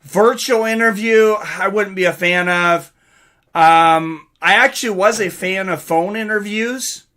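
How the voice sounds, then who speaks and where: A man's voice: sits high, a little slow, sharp tone. A middle-aged man hosting a live stream, chatting casually with viewers and commenting on fights in a rambling way. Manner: rambling, reading out and answering chat comments. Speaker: livestream host and fight commentator.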